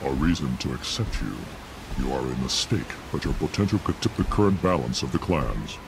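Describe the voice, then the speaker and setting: A man playing a disdainful, calculating alien clan leader in a video game, with a deep, gravelly voice and a condescending manner. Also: lively intonation, brisk, noisy background, heavily acted. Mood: grudging, calculating. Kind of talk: dramatic video game acting.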